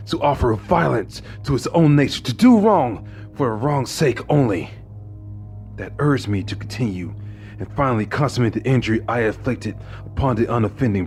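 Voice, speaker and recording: A man narrating a horror story in the first person, intense, dropping to hushed whispers and rising into anguished outbursts. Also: mid-range voice, lively intonation, moderate pace, faint music behind. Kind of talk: dramatic horror story reading.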